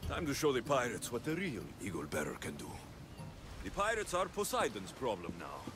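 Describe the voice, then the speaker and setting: Game hero, male: cocky young Greek mercenary, wry, very animated intonation. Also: medium pitch, normal speed, over music. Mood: assertive. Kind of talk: video game cutscene acting.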